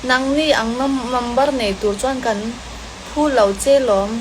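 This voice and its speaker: A woman answering fan questions in a relaxed, hesitant way, mixing several languages and pausing with fillers. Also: a little high. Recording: steady background hiss.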